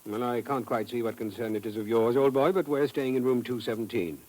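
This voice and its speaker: Male actor as pompous gentleman, very quick delivery, blustery, indignant, vintage radio drama. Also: mid-range voice, steady tone, natural voice. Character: pompous, indignant English husband on holiday.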